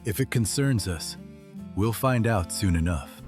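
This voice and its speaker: A man voicing a calm, reserved adventurer with a quiet, even, understated delivery in a fantasy audio drama. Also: on the low side, measured pace, soft background music. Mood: calm, mild.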